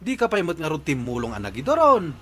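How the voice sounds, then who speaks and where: A man's voice: a little high, huge pitch swings, fast, background noise. A man acting a weary, put-upon tricycle driver and family father in a radio comedy-drama, protesting with an Ilocano accent.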